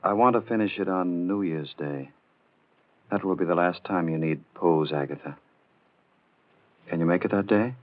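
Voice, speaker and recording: Brief: male actor as mad, jealous sculptor, 1940s radio thriller. Deep, halting, intense delivery on a dull vintage recording. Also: natural voice. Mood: guarded. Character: brooding, jealous sculptor, quietly mad and menacing. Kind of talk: 1940s radio horror acting. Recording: muffled 1940s radio recording, music behind.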